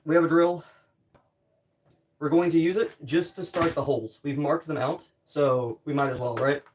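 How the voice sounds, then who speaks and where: A man's voice: fairly high pitch, fairly even intonation, moderate pace. Man chatting to himself, distant noisy mic. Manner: muttering to himself while working. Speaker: streamer building DIY projects. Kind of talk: casual livestream chat while working. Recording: distant mic with background noise, clicking.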